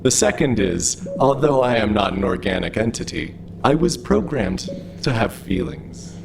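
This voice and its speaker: A man's voice: lowish voice, very animated, moderate pace. A man playing an overly friendly, literal-minded robot in an audio drama, speaking in a stilted, formal, earnest way. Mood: matter-of-fact. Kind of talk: scripted audio drama acting. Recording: noisy, with clear background ambience.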